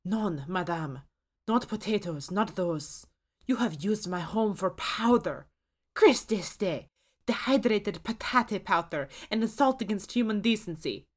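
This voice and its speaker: A woman's voice: medium pitch, lively intonation, a little slow, pitched above the performer's own voice. A fussy, proud French chef's ghost speaks with a thick French accent and lofty indignation, done by a woman narrator. The voice sits a little above the reader's own, with grand, formal phrasing. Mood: indignant. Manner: haughty, indignant.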